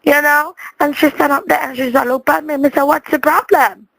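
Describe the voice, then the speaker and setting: A woman with a Jamaican accent speaking patois, telling a rambling comic story in a dramatic, expressive way and repeating words for emphasis. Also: high voice, fairly quick, creaky, dry recording, low-quality recording.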